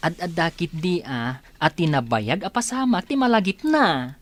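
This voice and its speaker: Woman acting a low-voiced, reassuring family friend. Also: big pitch swings, moderate pace, no room sound. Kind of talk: Filipino audio drama acting.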